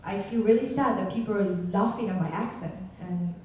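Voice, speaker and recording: A young woman from Myanmar with a Burmese accent, asking a halting question from the audience. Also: medium pitch, a little mellow. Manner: hesitant, halting, many fillers. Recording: echoey hall from far off, faint noise.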